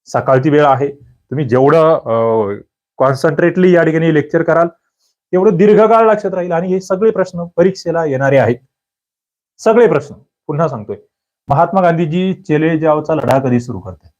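A man giving a quiz-style exam-prep lecture, firing questions and demanding quick answers from his students. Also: a little mellow. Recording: muffled low-fidelity recording.

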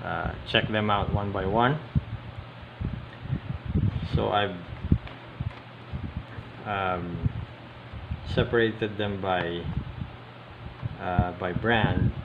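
Slow, halting man over loud background noise. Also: low voice, creaky, narrow, tinny audio. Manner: halting, hesitant, pausing often mid-sentence. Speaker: hobbyist video host. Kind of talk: casual unscripted show-and-tell commentary. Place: indoors at a tabletop. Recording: steady loud air-conditioning hiss, handling clicks. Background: loud background noise, clicks.

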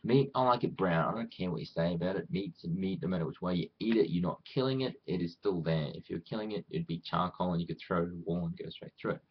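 A man's voice: low voice, fast, hardly any pauses, slightly rough, highs cut off. A man with an Australian accent chatting casually in a rambling, self-deprecating way, as a vlogger talking to viewers. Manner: rambling, self-deprecating, often acting out imagined quotes. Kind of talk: casual chat to camera.

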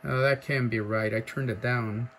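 A man casually showing off a radio he is selling, talking haltingly with many fillers and breaking into loud clipped mic tests. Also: medium pitch, fairly even intonation, heavy background noise. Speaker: seller demonstrating a radio. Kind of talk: casual off-the-cuff product demo. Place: indoor workshop bench.

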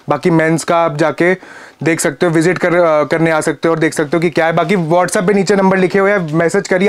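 A young man pitching wholesale clothing stock in a warehouse, insistent and eager to sell. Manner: fast, insistent, pitching prices. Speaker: warehouse seller. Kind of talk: sales pitch. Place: large indoor clothing warehouse.

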